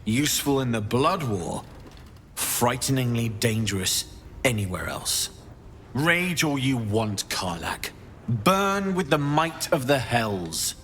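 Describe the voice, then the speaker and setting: A cunning false paladin in a video game, serving an archdevil. He speaks slowly and theatrically at a middling male pitch, with menace underneath, over clearly audible background noise. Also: very animated, fairly bright tone. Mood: cold menace. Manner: feigned fearful pleading turning to cold, deliberate threats. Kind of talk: dramatic video game acting.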